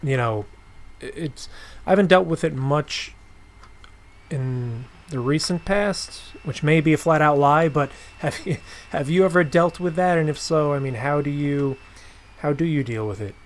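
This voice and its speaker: A man hosting a podcast in casual conversation, rephrasing haltingly as he goes. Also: a little high. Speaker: podcast host. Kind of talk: casual interview chat.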